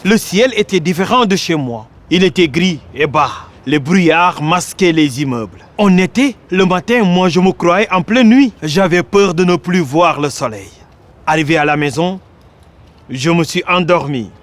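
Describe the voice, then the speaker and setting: A man from Casamance, Senegal, an actor delivering a theatrical one-man monologue in a declamatory, poetic way with dramatic pauses. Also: a little high, animated.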